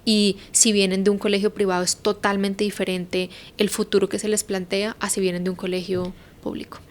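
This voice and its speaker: A woman's voice: medium pitch, flat delivery, fairly quick. A Colombian woman in her thirties, a podcast guest talking reflectively and thinking aloud with lots of fillers. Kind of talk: casual conversation.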